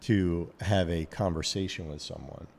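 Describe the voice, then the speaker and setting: A man co-hosting a casual podcast, talking in a halting way with many restarts as he thinks aloud. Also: sits low, fairly even intonation, gravelly, darkish tone, in a large hall. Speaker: podcast co-host.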